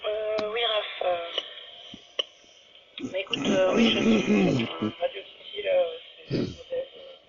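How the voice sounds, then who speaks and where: Over a two-way radio, a man chats in a halting, courteous and slightly formal way. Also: sits high, animated, vocal fry, over a noisy crowd. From France. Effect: two-way radio transmission. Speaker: novice radio operator. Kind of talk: casual two-way radio chat. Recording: very noisy, muffled two-way radio transmission.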